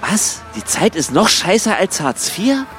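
An actor playing an eager, puzzled drinking buddy in a German comic radio play, questioning insistently in colloquial speech. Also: male voice, high voice, sing-song, measured pace, fairly bright tone, soft background music, full character voice. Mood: puzzled, incredulous.

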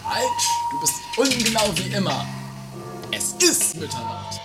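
A man's voice: high-pitched, wildly swooping pitch, measured pace, exaggerated voice. A man playing a theatrical, aggrieved husband in an improvised campy radio comedy, whiny and sarcastic. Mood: wistful. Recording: home-made 1980s radio play, music.

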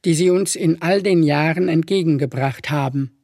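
A woman giving a formal, deliberate scripted dramatic reading. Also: deep voice, mellow, no echo, studio quality.

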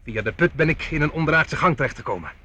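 An actor playing a brash, wry, swaggering adventurer in a radio drama. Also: male voice, fairly high pitch, animated, quick pace, bright, forceful tone. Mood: animated, pleased. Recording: noisy old radio play recording.

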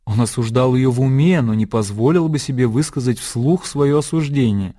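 A man narrating a literary radio play in a measured storytelling manner. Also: medium pitch, dark tone. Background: music and birdsong.

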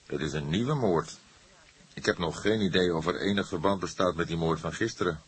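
Man playing a very deep weary detective. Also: husky. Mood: businesslike. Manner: languid, measured. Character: weary, somber older male police detective.

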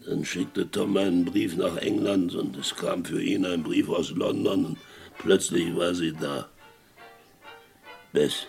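An actor playing a boisterous, gruff Wild West sheriff in a German radio crime drama, gravelly and husky, with a blustering manner. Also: male voice, deep voice, slow, faint music behind, exaggerated voice. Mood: subdued remembering. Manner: blustering, swings from hearty to hushed.

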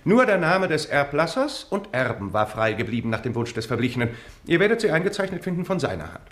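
An actor playing an officious, self-important Venetian notary in a German radio drama, speaking formally and pompously. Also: male voice, a little high, big pitch swings, quick pace, a bit bright.

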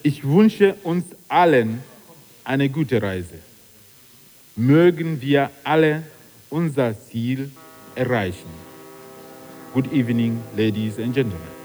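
A man's voice: mid-range voice, lively intonation, slow pace, pauses often, a little mellow. A man acting in a radio play as a solemn, grandiloquent speaker ceremoniously addressing travellers. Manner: ceremonious, oratorical. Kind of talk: theatrical speech in a radio play. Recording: long hall reverb.

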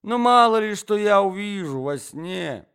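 A man's voice: sits high, lively intonation, a little slow, a bit bright, smooth, voice pushed up, exaggerated voice. A man voicing a frail, forgetful old prince who talks in a muddled, bewildered way.

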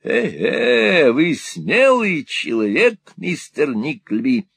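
A man's voice: a little high, big pitch swings, extremely slow, vocal fry, a bit bright, breathy edge, pitched above the performer's own voice, exaggerated voice. A man voicing a fawning old male moneylender who cackles and gushes with wheedling exclamations in an audiobook reading.

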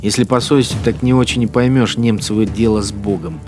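A man's voice: fairly low pitch, fairly even intonation, a little fast, over music, close to an everyday voice. An actor in a Russian radio drama playing a cynical, menacing collaborator policeman who muses in mocking, colloquial speech. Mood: matter-of-fact musing. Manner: colloquial, mocking, musing to himself.

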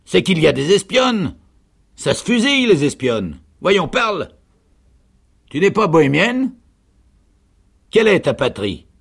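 A blunt revolutionary sergeant, played by a man reading a novel aloud, barks and presses his questions. The voice is pushed high and loud, with a husky, gravelly edge. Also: big pitch swings, normal speed, exaggerated voice. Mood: stern, pressing. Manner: barking, insistent questioning. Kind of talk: dramatic literary reading.

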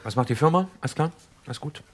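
A man's voice: fairly high pitch, expressive, fast talker, over music, lightly acted. A man acting in an improvised radio comedy as a newly single friend making casual small talk.

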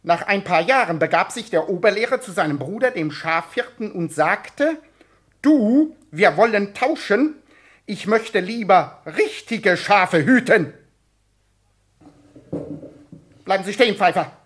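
A man's voice: sits high, animated, a little slow, fairly bright tone. An actor playing a strict male schoolteacher in a comedic radio play, commanding, clipped and sarcastic in manner. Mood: sardonic, demanding. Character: strict, barking male gym and mathematics schoolteacher.

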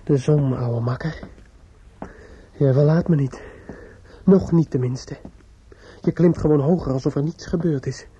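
An actor playing a desperate, distraught young man in a radio play, muttering in a halting, repetitive, obsessive way. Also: fairly high pitch, warm tone, muffled recording, slight background noise, close to an everyday voice. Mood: brooding, dazed.

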